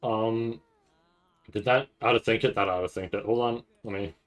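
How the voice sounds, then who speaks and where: A man doing casual livestream reaction commentary, rambling and stammering over repeated words with a nervous, excitable manner. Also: fairly low pitch, normal speed. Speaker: streamer reacting to a live anime event.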